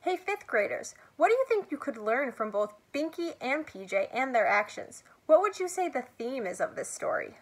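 Female teacher addressing students on video, animated delivery on the high side. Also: moderate pace, hardly any pauses, husky. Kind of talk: upbeat video message to a class.

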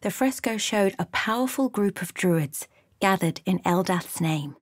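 An actress playing a thoughtful adventurer in a video game, with a measured, dramatic storytelling delivery. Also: female voice, mid-range voice, animated, dry recording, studio quality. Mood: measured narration. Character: thoughtful adventurer woman recounting her journey. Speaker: voice actor for a player character. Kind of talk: scripted game narration and character lines.

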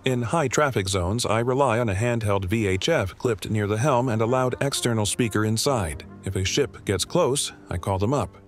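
Male voice-over narrator, lowish voice, calm and measured, soft music underneath. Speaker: solo sailor narrator.

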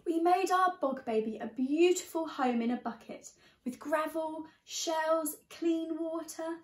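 A young woman reading a picture book aloud to young children in an expressive, teacherly way. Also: very high-pitched, unhurried. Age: young adult. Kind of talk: storybook read-aloud. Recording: echoey room sound.

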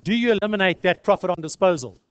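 A man's voice: sits high, quick pace, clear, resonant tone, no echo. A South African man lecturing in an informal tutorial style, conversational and peppered with rhetorical questions. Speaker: accounting lecturer.

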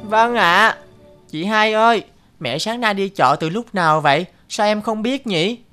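A woman as a bright-voiced, curious little boy. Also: medium pitch, unhurried, clear, resonant tone, lightly acted. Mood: curious, eager. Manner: inquisitive, childlike. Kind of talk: children's cartoon dubbing. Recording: faint music behind the voice.

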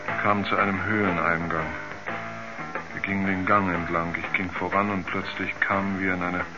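Haunted male astronaut, groping for words, deep, dull seventies radio-play sound. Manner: halting, groping for words, repeats himself. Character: troubled male astronaut, haunted by terrifying dreams. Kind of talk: 1970s German radio drama acting.

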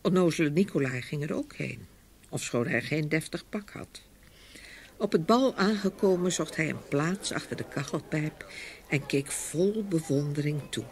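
A woman narrating a scripted fairy tale with expressive storytelling. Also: low voice, moderate pace.

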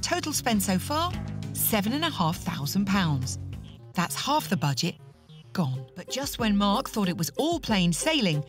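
A woman's voice: medium pitch, very animated, measured pace, music in the background. A woman giving playful, scripted narration for a reality show. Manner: playful, punning.

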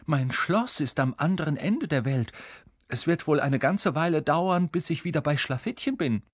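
Storybook king, read by a man: grown, heavyset, confused and anxious, hesitant delivery with a slightly husky tone. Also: a little high, animated, normal speed, no echo, pitched above the performer's own voice. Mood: bewildered. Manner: hesitant, worried questioning.

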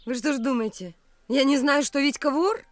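A woman's voice: sits high, normal speed, no echo, soft background music, close to an everyday voice. An actress playing a capricious, teasing, bored young provincial woman in a radio play, mocking and imperious. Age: young adult.

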